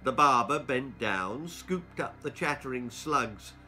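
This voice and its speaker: A man in his sixties reads a children's story theatrically. His pace is slow and deliberate, his intonation lively and his tone bright and crisp. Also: mid-range voice, soft background music. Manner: expressive, deliberate. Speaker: author reading his own stories. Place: small book-lined room indoors.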